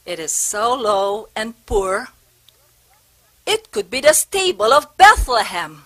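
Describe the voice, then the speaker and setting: A woman playing a devout, composed and firm Spanish prioress in a historical stage play, measured and courteous. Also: a little high, very animated, bright, forceful tone, exaggerated voice. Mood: instructing.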